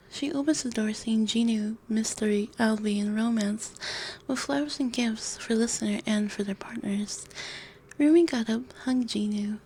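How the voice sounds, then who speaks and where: A woman gently narrating a scripted ASMR story, breathy and often whispered, close to a binaural microphone. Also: on the high side, rough recording. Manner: gentle, soft-spoken. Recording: faint background noise.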